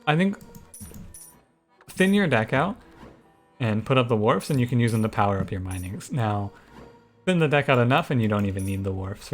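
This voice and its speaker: A man with a soft, soothing voice giving relaxed, thinking-aloud commentary over a strategy game. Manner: low-key, musing, thinks aloud with frequent restarts. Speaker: gaming YouTuber and streamer. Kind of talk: casual gameplay commentary.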